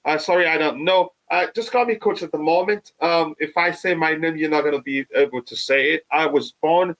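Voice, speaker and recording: A man, hosting a live fan stream and reading viewer comments, halting with many fillers, his voice still touched by a cold. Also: on the high side, fairly bright tone, low-quality recording. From Nigeria. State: recovering from a cold. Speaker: fan channel host.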